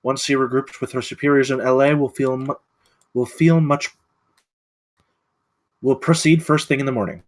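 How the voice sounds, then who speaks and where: A man's voice: mid-range voice, fairly even intonation, moderate pace, long pauses, close to an everyday voice. A man acting an earnest, businesslike military guide in a script table read.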